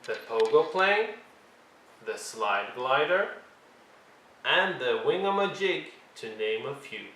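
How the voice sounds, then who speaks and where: A man reads a storybook aloud for young children. His delivery is expressive and deliberate, leaning on key words for drama. The recording is roomy and echoey, with faint noise behind him. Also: medium pitch. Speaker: storyteller reading a picture book aloud. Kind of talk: expressive read-along narration for young children.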